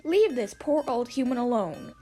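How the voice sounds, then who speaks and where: A woman's voice: fairly high pitch, lively intonation, moderate pace, warm tone, soft background music, close to an everyday voice. Woman as a perky, scolding little skeleton. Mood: indignant scolding. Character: small earnest scolding skeleton, excitable and bold.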